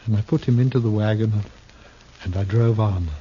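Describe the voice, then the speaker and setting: An actor in a 1950s radio Western playing a cultured, despairing traveling player turned killer, speaking in a halting, theatrical, mournful way. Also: male voice, lowish voice, warm tone, lightly acted. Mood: haunted confession. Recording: muffled, noisy old 1950s radio recording.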